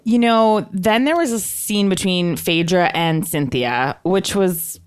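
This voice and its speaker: A woman with a voice a little on the low side co-hosts a recap podcast. She talks casually and conversationally. Her delivery is emphatic, full of hyperbolic turns of phrase. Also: animated, takes it slowly, clean tone. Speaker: podcast co-host. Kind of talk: casual chat, recapping reality TV.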